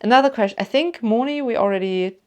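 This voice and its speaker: A woman's voice: fairly high pitch, fast, darkish tone. A young woman from Germany with a German accent, chatting casually to camera as she answers viewer questions, rambling with lots of "like" and "um". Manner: chatty and rambling, with many "like" and "um" fillers.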